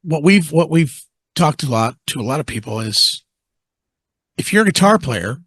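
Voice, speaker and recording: A man hosting an interview, talking in a relaxed, joking way with some casual swearing. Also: fairly high pitch, normal speed, husky, no echo. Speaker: podcast host.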